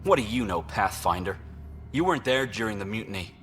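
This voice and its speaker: An actor playing a self-serving, sneering colonial official in a video game, defensive and indignant. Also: male voice, fairly low pitch, expressive, a little fast, soft background music. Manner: sharp, indignant.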